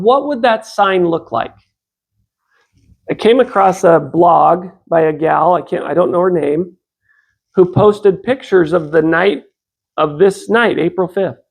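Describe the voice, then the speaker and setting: A fifty-something American man speaks as an informal religious lecturer. His voice sits high for a man and has a strong gravelly creak. He talks conversationally, posing questions to his listeners and voicing imagined exchanges. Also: slow pace, pauses often.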